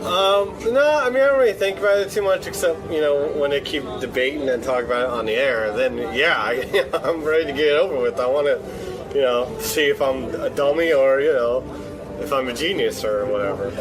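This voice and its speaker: A man giving casual, halting interview answers with a self-deprecating manner. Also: mid-range voice, low-quality recording.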